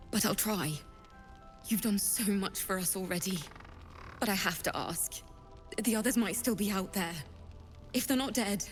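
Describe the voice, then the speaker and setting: A woman's voice: medium pitch, lightly acted. A woman voicing a grateful, careworn refugee who looks after children in a video game, earnest and plain-spoken. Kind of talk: video game character acting. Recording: music behind the voice.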